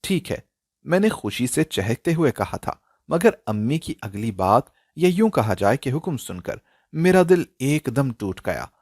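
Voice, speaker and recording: A man narrating a scripted dramatic story in an emotional, expressive style. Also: medium pitch, a little slow, no echo, clean studio sound.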